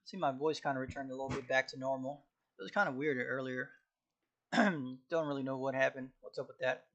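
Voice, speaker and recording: A man casually talking to himself while studying on a live stream, often clearing his throat, which feels irritated. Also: medium pitch, steady tone, fairly quick, a bit bright, highs cut off. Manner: frequent throat clearing, laid-back, reciting notes from memory.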